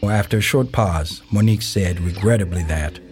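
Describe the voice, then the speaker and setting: A man's voice: sits low, moderate pace, darkish tone, a little hiss or hum. A man giving scripted narration for a documentary-style audio drama. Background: music.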